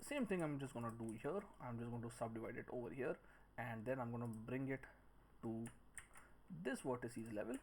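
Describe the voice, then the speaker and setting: A man with a mid-pitched voice narrates a software tutorial in a casual way, talking himself through each step as he works. The recording has clear background noise, with keyboard typing and mouse clicks. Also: lively intonation, fairly quick. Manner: talks through each step while working, muttering counts and shortcuts. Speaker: 3D modelling instructor.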